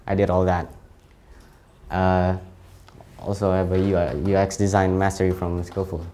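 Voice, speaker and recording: Halting young Indonesian man, mellow voice. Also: low-pitched, flat delivery. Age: late teens. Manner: halting, frequent self-corrections. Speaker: student presenting his CV. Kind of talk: informal presentation.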